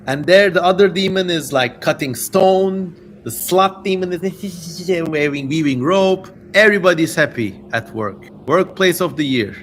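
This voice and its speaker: A man's voice: a little high, a little slow, hardly any pauses, fairly bright tone. A man hosting a casual livestreamed reading, talking in a playful, theatrical, irreverent way with joking asides. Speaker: host of a live-streamed dramatic reading. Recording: clear background noise.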